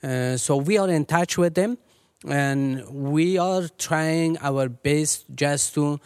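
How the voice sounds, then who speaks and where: A man from Afghanistan who leads a ministry, speaking earnestly and measuredly as an interview guest with many pauses and fillers. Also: a little high, darkish tone, clear, resonant tone, dry recording, clean studio sound. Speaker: ministry leader.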